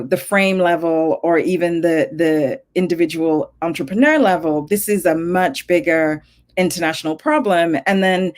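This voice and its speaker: A Zambian-born woman, a tech founder, speaking on a panel discussion, thoughtful, with frequent fillers and restarts. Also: on the low side.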